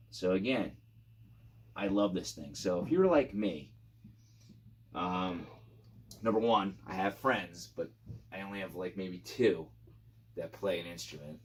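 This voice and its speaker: A man's voice: sits low, slight background noise. A man reviewing guitar gear in a casual, informal and rambling product demo style with some enthusiasm.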